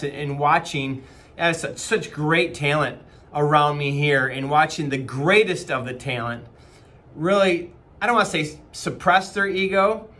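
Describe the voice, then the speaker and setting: Bright-voiced man talking to camera. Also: a little high. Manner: earnest, conversational, frequent fillers and restarts. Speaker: company leader. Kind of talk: casual motivational talk to camera. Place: small office indoors. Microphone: webcam at desk distance.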